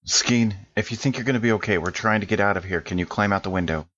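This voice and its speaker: Male tabletop player: lowish voice, casual, hesitant questioning, slightly dull lo-fi microphone. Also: quick pace, a bit bright. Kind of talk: casual in-game talk with the game master.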